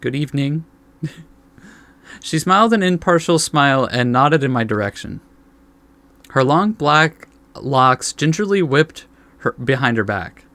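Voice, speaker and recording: A man's voice: medium pitch, lively intonation, unhurried, pauses often, pushed and bright, no room sound. A man hosting a casual let's play, reading story text aloud and reacting in an informal, laid-back way. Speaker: gaming video host.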